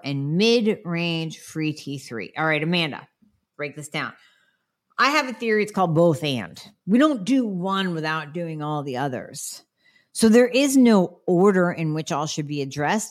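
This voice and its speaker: Frank, chatty health practitioner, a middle-aged woman with a lowish voice, nasal from a cold, answering viewer questions. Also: fairly bright tone. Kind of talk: casual Q&A talk.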